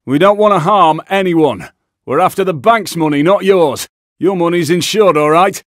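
An actor playing a brash, foul-mouthed robber in a heist video game, with an English accent and a gruff, urgent delivery. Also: male voice, sits high, moderate pace, dry recording, studio quality, natural voice. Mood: tense, commanding. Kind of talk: shouted video game combat callouts.

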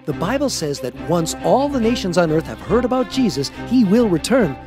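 A man's voice: sits high, very animated, a little fast, over music. An actor playing an anxious, devout father of young children in a family audio drama. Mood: upbeat, earnest.

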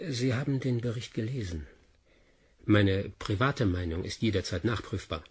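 Defiant, very husky fugitive scientist. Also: male voice, on the low side, moderate pace. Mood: defiant accusing. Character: defiant fugitive male scientist, grave and accusing. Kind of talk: German sci-fi radio drama acting.

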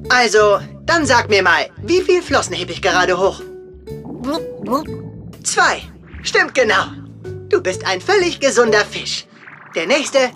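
A woman voicing a small, caring, anxious young male penguin medic in a children's cartoon audio drama, gentle and earnest. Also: medium pitch, very animated, takes it slowly, long pauses, soft background music. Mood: gentle, reassuring.

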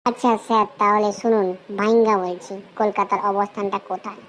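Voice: medium pitch, flat delivery, fairly quick, a bit bright, slight background noise, natural voice, low-quality recording. A performer voicing a cheeky, girl-crazy male schoolboy who is too old for class, bantering in brash slang for a comedy cartoon.

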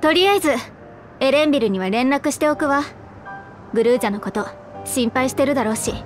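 An actress playing a confident, brisk teenage elf girl in a video game, speaking in a feminine, matter-of-fact way. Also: high voice, lively intonation, faint music behind. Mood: wry, practical. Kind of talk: video game cutscene acting.

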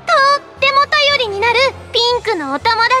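Very high-pitched perky heroine. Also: female voice, big pitch swings, fairly quick, over loud music. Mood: bubbly. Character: perky cute magical-heroine show performer cheering on children. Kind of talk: Japanese anime-style voice drama.

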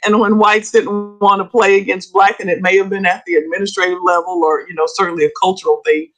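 A middle-aged woman historian speaking as a guest on a video call, telling stories in an animated way that often breaks into laughter. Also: medium pitch, quick pace, soft background music, rough recording. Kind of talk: casual interview chat. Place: home room with bookshelves, indoors.

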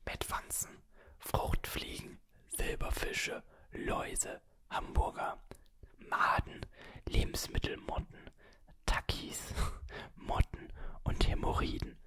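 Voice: takes it slowly, long pauses, pushed and bright. A video host whispering the whole time while playing a word-guessing game, listing guesses, musing, and grumbling playfully at the computer. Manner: whispers throughout, guessing words aloud and musing. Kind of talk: whispered gaming commentary.

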